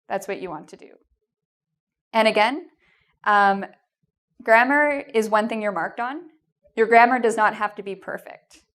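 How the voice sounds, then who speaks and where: A woman with a Canadian accent giving an English exam lesson, encouraging and reassuring, with frequent "um" and "okay?" checks. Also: fairly high pitch. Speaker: English teacher. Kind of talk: video lesson with tips.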